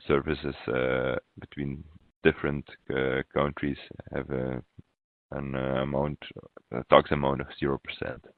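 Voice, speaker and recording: Phone-like audio, male software trainer, extremely low voice, halting step-by-step webinar walkthrough. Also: no echo. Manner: halting, pausing mid-phrase. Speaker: software consultant presenting a webinar demo.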